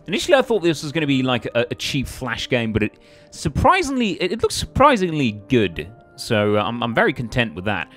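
Animated man doing casual game commentary. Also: medium pitch, fairly quick. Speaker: gaming video host. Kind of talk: casual let's play commentary. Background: faint game music.

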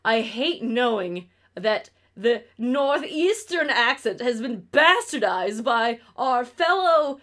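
A woman's voice: high-pitched, a bit bright. A young woman from New York State with an upstate New York accent, chatting on a casual stream from her bedroom, blunt and ranting, swearing freely.

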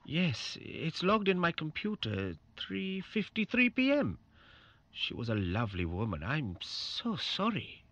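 An actor playing a polite, sympathetic alarm operator in a radio drama, heard through an intercom speaker. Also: male voice, fairly high pitch, huge pitch swings, measured pace, fairly bright tone, full character voice. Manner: polite, solicitous. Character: Paul, courteous, sympathetic male alarm-company call operator.

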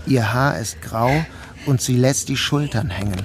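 An actor playing a troubled, dreamy grown son who narrates his surreal day in a measured, introspective way. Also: mid-range voice, background noise, close to an everyday voice. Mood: brooding, introspective. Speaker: narrator and protagonist. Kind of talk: first-person literary radio play narration. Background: music.

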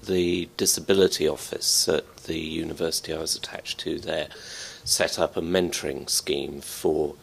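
Englishman, deep gravelly voice, slow, measured, handheld mic. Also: steady tone, a little hiss or hum, rough recording. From England. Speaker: panelist. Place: conference room.

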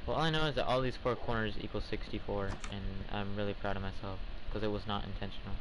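A man's voice: lowish voice, fairly even intonation, quick pace, noisy background. A teenage boy streaming a game, chatting casually and hesitantly with lots of ums. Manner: rambling, hesitant with many ums.

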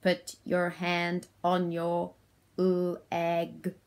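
Woman doing a slow, stilted commanding robot. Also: lowish voice, completely flat delivery, darkish tone. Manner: stilted, drawn-out, sounding out words in segments. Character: stiff robot giving children simple commands.

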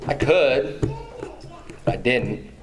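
A man in his thirties preaches at a pulpit in a small church hall, picked up by a camera some distance away. He drives his points home by repeating phrases and lets his pitch climb as he warms up. A faint hiss and a few clicks sit under the voice. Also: expressive, slow pace. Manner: emphatic preaching, repeats phrases, rises in pitch when stirred. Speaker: pastor. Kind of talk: sermon. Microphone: far camera, at a pulpit.

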